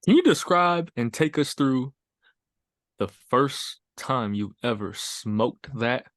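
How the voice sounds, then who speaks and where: Slow-talking man in casual chat. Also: medium pitch, lively intonation, fairly bright tone, no echo, studio quality. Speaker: podcast co-host asking questions and reacting.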